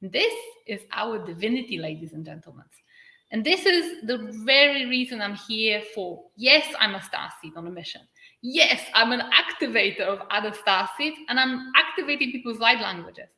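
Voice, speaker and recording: A woman from Poland with a Polish accent, speaking English as a second language, giving an earnest spiritual livestream talk and stretching key words with dramatic pauses. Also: a little high, expressive, bright, forceful tone. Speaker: spiritual channel and activator. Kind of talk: livestream talk answering viewer comments. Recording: echoey room.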